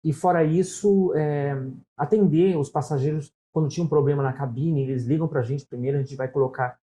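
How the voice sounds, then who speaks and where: A talkative middle-aged Brazilian man with a São Paulo accent, a guest in a relaxed interview. His voice sits a little high for a man but sounds warm and mellow, and he tells his stories at length, tagging his sentences with "né?". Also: fairly quick. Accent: São Paulo Brazilian Portuguese. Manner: storytelling, talkative, frequent "né?" tags. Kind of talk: casual interview conversation.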